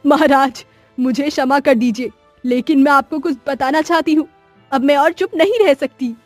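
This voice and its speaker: A woman's voice: sits high, normal speed, no echo, soft background music, close to an everyday voice. A woman voicing a nervous, apologetic palace maid in a cartoon story, earnest and deferential. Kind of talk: Hindi cartoon story voice acting.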